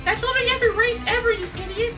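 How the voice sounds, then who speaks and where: Guy doing a super high-pitched snarky sidekick, music behind. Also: steady tone, racing. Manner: snarky, exasperated. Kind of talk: comedic fan dub acting. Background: game music.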